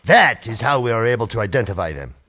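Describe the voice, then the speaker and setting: An actor playing a sardonic, menacing henchman in an old-style radio drama, mocking and deliberate. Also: mid-range voice, big pitch swings, sharp tone. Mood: cold, grave. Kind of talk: 1940s radio drama acting.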